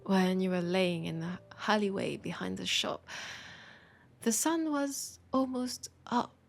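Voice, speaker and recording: A woman with a French accent playing a teasing, warm bookshop keeper in an intimate audio roleplay, soft and playful, often slipping toward a whisper. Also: mid-range voice, slow, vocal fry, faint music behind. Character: teasing, warm young-sounding human bookshop keeper, chatting to vampire.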